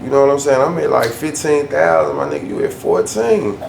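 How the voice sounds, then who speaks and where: A man's voice: mid-range voice, huge pitch swings, a little fast, vocal fry, darkish tone. A man ranting casually in a loose, slangy way, often repeating himself for emphasis. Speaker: YouTube storyteller. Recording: echoey room, faint background hum.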